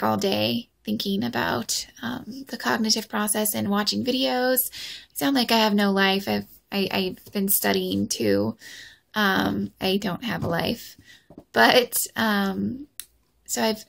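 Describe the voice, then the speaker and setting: A woman's voice: medium pitch, a little slow, creaky, a bit bright. A young woman vlogging into her phone, with a light voice and a hesitant manner full of ums and restarts. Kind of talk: casual personal vlog. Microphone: phone camera.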